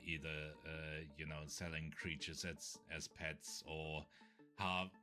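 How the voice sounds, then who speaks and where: A man with a German accent chats casually as a podcast co-host. His voice sits very low. He often stops to search for words, and faint music plays in the background. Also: little pitch movement, takes it slowly, bright, forceful tone. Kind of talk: casual chat.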